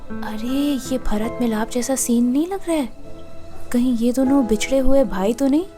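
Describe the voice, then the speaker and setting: A woman acting a chatty, joking, easily flustered young woman in an audio drama, informal and expressive. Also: fairly high pitch, normal speed, faint music behind, lightly acted. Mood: teasing.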